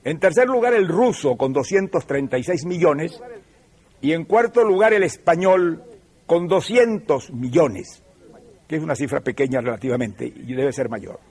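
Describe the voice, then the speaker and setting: An older Venezuelan man speaks in a measured, professorial way, leaning into key points with emphasis. His voice sits a little higher than most men's. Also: animated, darkish tone, breathy edge. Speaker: TV show host. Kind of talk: televised lecture.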